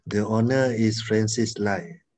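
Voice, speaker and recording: A man's voice: lowish voice, unhurried, a little mellow. Hesitant Malaysian man in his thirties. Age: 30s. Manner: hesitant, searching for words. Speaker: student. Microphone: video call.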